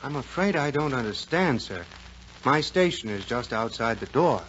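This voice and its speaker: An actor playing a polite, dutiful waiter in a 1940s radio drama, formal and matter-of-fact. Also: male voice, medium pitch, animated, a bit bright, natural voice. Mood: polite, puzzled. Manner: formal, servile, measured. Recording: muffled, noisy 1940s radio recording.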